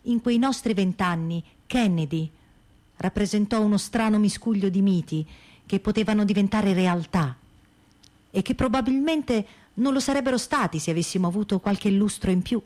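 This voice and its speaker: A woman's voice: medium pitch, normal speed, a little mellow. A woman reading a scripted theatrical narration as a stage narrator.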